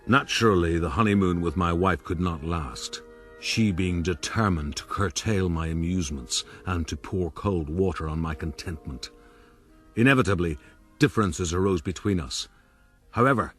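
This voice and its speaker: An actor playing a proud, bullying eighteenth-century gentleman adventurer in a radio drama, pompous and commanding. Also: sits low. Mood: smug narration. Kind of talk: radio drama narration and dialogue.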